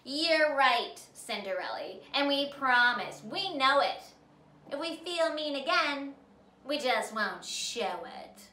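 A woman voicing a mean, excitable young stepsister, loud and shrill, in a storybook read-aloud. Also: takes it slowly, full character voice. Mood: grudging promise. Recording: roomy, distant mic, some noise.

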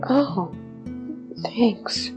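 A woman's voice: fairly high pitch, animated, very slow, a little mellow, faint music behind. A woman playing a shy, mournful young male ghost in an audio drama, hesitant and stammering. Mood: timid, downcast.

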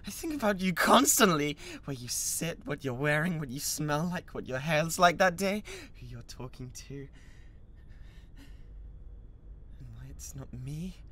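A man playing an obsessive, animated British yandere classmate. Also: fairly high pitch, slight background noise. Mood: infatuated. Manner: halting, breathy laughs, swinging between shy murmurs and manic outbursts. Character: obsessive young male yandere classmate, awkward, lovesick, menacing. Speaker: voice actor. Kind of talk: dramatic ASMR roleplay monologue.

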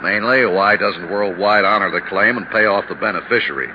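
A man acts out a big, blustery insurance man in an old radio serial. His voice is on the low side, chummy and folksy. The recording is muffled, with clear noise over it. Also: brisk, lightly acted. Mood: businesslike, chummy. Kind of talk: 1950s radio drama acting. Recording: noisy, dull 1950s radio recording.

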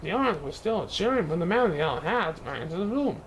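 A man reading a picture book aloud in an expressive way, now and then stumbling over words. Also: high voice, fast talker, a little hiss or hum, poor audio. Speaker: children's book reader.

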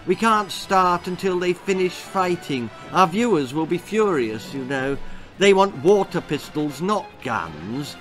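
Man voicing a plaintive high-pitched little bear puppet. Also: animated, a little slow, fairly bright tone, soft background music, low-quality recording. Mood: weary indignation. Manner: complaining, plaintive. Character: weary, indignant little orange bear puppet.

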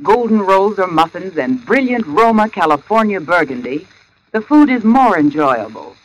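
A man's voice: sits high, big pitch swings, moderate pace, low-quality recording. A man delivering a scripted testimonial in a 1940s radio advertisement.

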